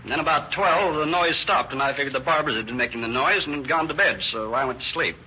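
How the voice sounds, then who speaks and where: A man's voice: fairly high pitch, quick pace, pushed and bright. An actor playing an unsuspicious, plain-spoken male tenant giving a rambling witness account in an old radio drama. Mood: candid, slightly sheepish. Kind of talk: 1930s radio drama acting. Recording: dull, hissy old-time radio recording.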